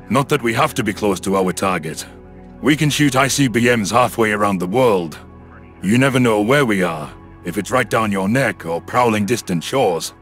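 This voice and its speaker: Man voicing a stern, low-voiced submarine commander. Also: fairly bright tone. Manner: measured, authoritative, proud explaining. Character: calm, stern male human submarine commander.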